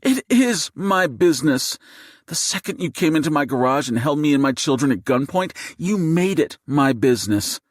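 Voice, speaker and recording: Man acting a furious mother, high raised voice, seething, defiant, confrontational, audiobook drama. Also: big pitch swings, normal speed, no echo, studio quality. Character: furious, fierce, defiant mother held at gunpoint.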